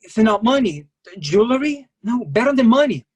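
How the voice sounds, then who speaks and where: A man's voice: very high-pitched, very animated, moderate pace, husky, heavily acted. A man playing a desperate foreign fugitive masseur in a staged play reading, pleading in clipped broken English. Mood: coaxing.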